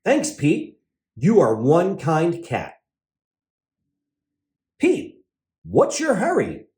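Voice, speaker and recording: A man's voice: fairly high pitch, wildly swooping pitch, drawn-out words, a little mellow, roomy sound, exaggerated voice. A man voicing friendly, curious animal pals from a children's storybook, inquisitive and grateful.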